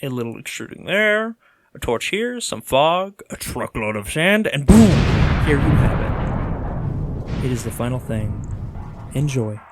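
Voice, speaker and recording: A man's voice: medium pitch, sing-song, unhurried, heavy background noise. A man giving a theatrical, tongue-in-cheek voice-over narration with comic asides, as the host of an art video. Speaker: video host, 3D monster artist. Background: background music.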